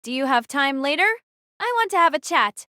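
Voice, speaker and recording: Anime girl captain, high pitched, earnest, all business, dry studio audio. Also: lively intonation, fairly quick, pushed and bright, no room sound. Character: earnest, determined young female team captain. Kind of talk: anime-style audio drama acting.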